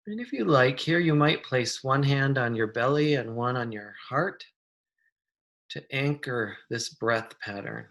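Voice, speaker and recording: A meditation guide with a mid-pitched voice leads a guided practice. The delivery is gentle and soothing, with unhurried phrases and long pauses between instructions. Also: expressive.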